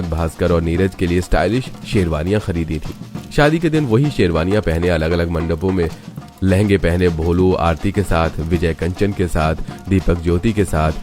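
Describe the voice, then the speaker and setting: A man reading scripted moral-story narration as a storyteller. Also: low-pitched.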